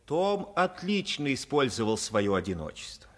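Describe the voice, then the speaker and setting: A man's voice: medium pitch, very animated, moderate pace. A man narrating a story expressively for a radio play.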